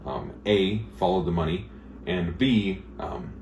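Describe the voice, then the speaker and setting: A man who teaches blacksmithing, talking in a casual interview with a booming, emphatic way of explaining things at length. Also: measured pace, darkish tone. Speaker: blacksmith instructor. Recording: echoey room with faint noise.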